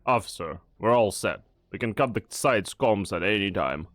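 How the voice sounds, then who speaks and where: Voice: low-pitched, expressive, moderate pace, a bit bright, no echo, faint music behind. A performer voicing a stiff, officious subordinate officer in an amateur fan dub.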